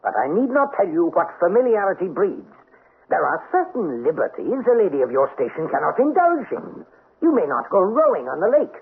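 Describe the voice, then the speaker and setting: Haughty, gravelly, high-voiced count. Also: male voice, wildly swooping pitch, brisk, heavily acted. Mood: imperious. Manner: pompous, imperious. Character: haughty, petty, domineering French count and husband. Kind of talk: vintage radio drama acting. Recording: tinny old radio recording.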